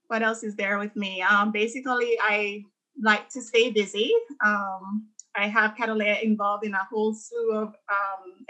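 A woman's voice: mid-range voice, pushed and bright, poor audio. A woman in her thirties from Jamaica, a church podcast guest chatting casually about her life, with frequent ums and easy laughs. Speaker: interview guest, architect. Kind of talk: casual interview conversation.

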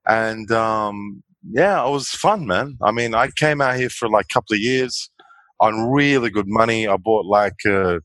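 A man's voice: lowish voice, unhurried, a bit bright, dry recording. A man in his forties, a guest chatting easily about his life and laughing now and then. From Australia. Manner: easygoing, chatty, laughs often. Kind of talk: casual interview chat.

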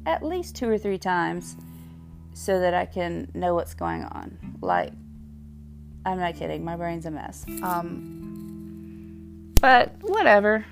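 A young woman with a Southern US accent, chatting candidly and rambling on her solo podcast, full of fillers and pauses. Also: on the low side, creaky. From Southern United States. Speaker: podcast host.

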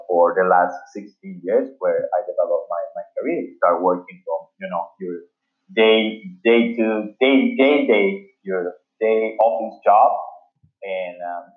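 Halting Ecuadorian man on a tinny line. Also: low voice. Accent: Ecuadorian Spanish. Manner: halting, many fillers and restarts. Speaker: sports agency founder. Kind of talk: interview storytelling.

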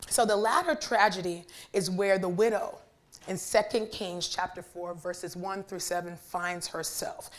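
A young woman with a raspy, hoarse voice, preaching and praying in church and talking easily with the congregation. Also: mid-range voice. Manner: chatty and warm with the congregation, asking for responses. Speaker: guest preacher. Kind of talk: sermon with prayer. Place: church sanctuary.

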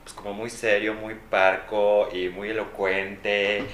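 Lower-voiced joking man co-host. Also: little pitch movement, fairly bright tone. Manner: joking, quick interjections. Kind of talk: casual comedic chat. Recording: echoey room with faint noise.

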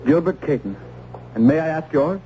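A man's voice: fairly high pitch, expressive, normal speed, warm tone, a little hiss or hum, close to an everyday voice. Man as earnest young clergyman, muffled old radio. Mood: troubled, earnest. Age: young adult. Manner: formal, earnest, impassioned. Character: earnest, principled young English clergyman, troubled and defiant. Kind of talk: old-time radio drama acting.

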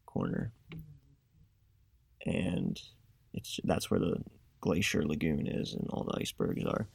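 A young man, a student making a class podcast, telling a travel story in a casual, self-described monotone voice. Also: low-pitched, vocal fry, husky, dry recording. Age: young adult.

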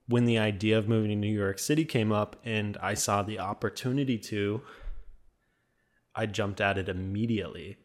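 A young man from the American Midwest with a slight Minnesota accent, a guest talking casually and softly with many fillers. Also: lowish voice. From Midwest USA. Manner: soft-spoken, trailing off, many fillers. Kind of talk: casual interview.

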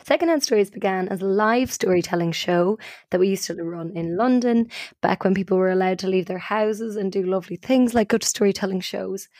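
A woman's voice: medium pitch, a little fast, darkish tone, no room sound, clean studio sound. A woman with an Irish accent, talking casually as co-host of a storytelling podcast. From Ireland.

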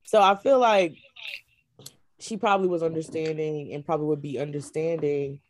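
A woman's voice: low voice, fairly even intonation, normal speed, a little hiss or hum. A woman guest in a casual chat, thinking aloud in a colloquial, thoughtful way. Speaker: voice actor guest.

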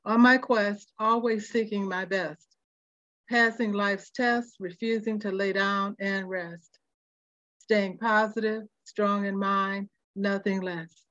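An older woman reads her own poem in measured, short phrases with a rhyming cadence. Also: medium pitch, fairly even intonation, a bit bright, no room sound. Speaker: poet reading own work. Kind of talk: poetry recitation. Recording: dull, lo-fi dry recording.

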